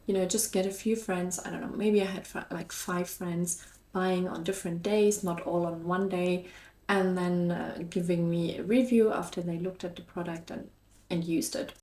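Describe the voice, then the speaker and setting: A woman's voice: lowish voice, fairly even intonation, normal speed, warm tone. A woman with a German accent talking casually to her viewers as a vlog host, in an upbeat, encouraging and chatty way. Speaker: YouTube host and online shop seller. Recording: echoey room.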